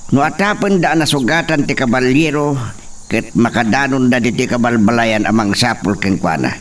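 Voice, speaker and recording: A man's voice: mid-range voice, expressive, normal speed, recorded from a distance, natural voice. A man playing a firm, commanding and protective father in an Ilocano drama, with a Filipino Ilocano accent. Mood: grave, decisive. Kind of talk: Ilocano radio-style drama acting.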